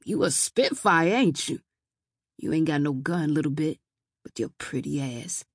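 A woman's voice: deep voice, animated, a little slow, husky, no echo, voice pushed down, heavily acted. Woman playing a drunk sleazy man, leering, wheedling, audiobook character, dry recording.

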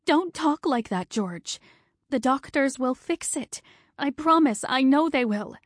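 A woman doing a frantic, high-pitched wife. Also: animated, slow, dry recording, studio quality. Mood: pleading. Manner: high, breathless, urgent pleading. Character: frantic middle-aged wife, anxious and pleading.

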